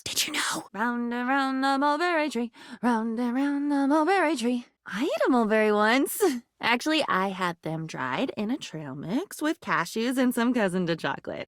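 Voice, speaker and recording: A woman's voice: on the high side, fairly bright tone, no echo, clean studio sound. A woman voicing an anxious, lonely living diary in a video game, rambling and disjointed, lurching between moods and volumes in a theatrical way. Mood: airy, unsettled.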